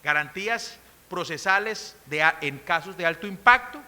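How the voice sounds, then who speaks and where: Colombian woman speaker, middle-aged, firm emphatic lecture delivery, piercing bright tone, echoing conference hall. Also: lowish voice, animated, normal speed. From Colombia, coffee region. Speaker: conference speaker on criminal law. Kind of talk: formal academic lecture, partly read from a text.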